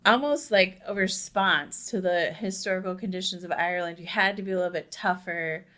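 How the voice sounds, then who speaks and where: A woman's voice: on the low side, a little fast, fairly bright tone. A young adult woman co-hosting a casual podcast discussion, talking emphatically and dropping "like" in very often. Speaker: podcast co-host.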